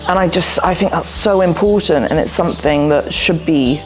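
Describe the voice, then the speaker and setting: A woman in her thirties answering interview questions thoughtfully, often pausing with "um" and "you know," recorded on a lapel mic indoors. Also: low-pitched, soft background music, low-quality recording.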